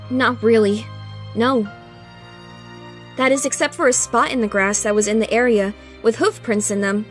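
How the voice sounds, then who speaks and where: A woman as high-voiced, gracious pony princess. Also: steady tone, moderate pace, soft background music, lightly acted. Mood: polite, concerned. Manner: polite, earnest, pleading. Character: gracious, worried pony princess, devoted wife. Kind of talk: fan audio drama acting.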